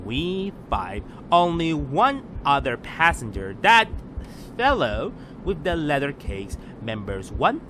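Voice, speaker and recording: An actor as a theatrical male society jester in a retro radio play. His voice is high and bright, arch and flippant, swooping in pitch over faint noise. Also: very animated, slow pace, exaggerated voice. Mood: arch, mocking. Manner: arch, grandiose, drawling. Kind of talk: 1930s radio acting.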